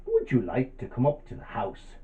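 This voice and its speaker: A man's voice: medium pitch, huge pitch swings, normal speed, mellow. A man voicing a sly, hungry spider in a children's story, taunting in a sing-song way. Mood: falsely friendly. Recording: thin phone-like sound.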